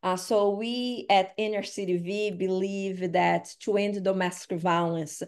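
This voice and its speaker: A woman's voice: medium pitch, fairly even intonation, darkish tone. Woman hosting a panel. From Brazil. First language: Portuguese. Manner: measured, reads prepared remarks with tag questions. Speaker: host, staff of the organization. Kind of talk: scripted panel remarks.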